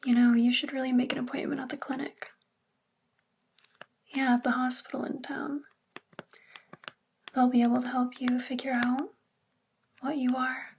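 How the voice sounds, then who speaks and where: A woman playing a sweet, bookish witch librarian in a soft spoken ASMR roleplay, gentle and soothing in a breathy, whispery voice. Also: high voice, flat delivery, normal speed, long pauses, slight background noise. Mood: kind, reassuring.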